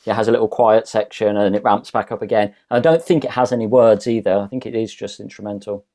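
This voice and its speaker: A man taking part in a relaxed podcast quiz, speaking at a mid pitch in an everyday voice. He gropes for answers, stumbling and restarting his sentences, and laughs easily along the way. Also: fast talker. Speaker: quiz contestant. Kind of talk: casual podcast chat.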